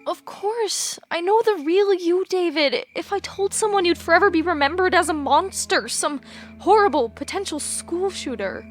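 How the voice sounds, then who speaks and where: A young woman playing an anxious, guilt-ridden teenage girl in an audio play, earnest, apologetic and quick to justify herself. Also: very high voice, faint music behind. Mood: guilty, distraught.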